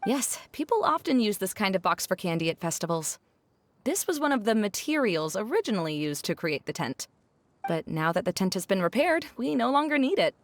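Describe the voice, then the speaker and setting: A woman voicing a calm, thoughtful, philosophical adult female guide in a video game. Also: mid-range voice, brisk, close to an everyday voice. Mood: reflective. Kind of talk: video game character acting.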